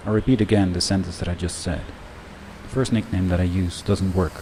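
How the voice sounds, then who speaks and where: A man delivering a scripted first-person monologue in a measured, reflective way. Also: sits low, fairly even intonation, noisy background. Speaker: narrator.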